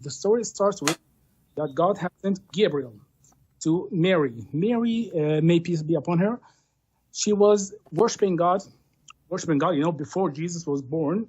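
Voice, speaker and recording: A man tells a long story slowly, stumbling through fillers and restarts as he asks not to be cut off. His voice is soft and husky, heard over dry, dull, lo-fi call audio. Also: sits high, dry recording. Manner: halting, many fillers and restarts, pleads to finish. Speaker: debate guest. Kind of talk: retelling a scripture story in a debate.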